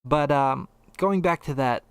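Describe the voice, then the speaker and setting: A man's voice: fairly high pitch, unhurried, smooth, no echo. A man co-hosting a casual, opinionated gaming discussion, rambling and a little hesitant with half-finished thoughts. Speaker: podcast co-host.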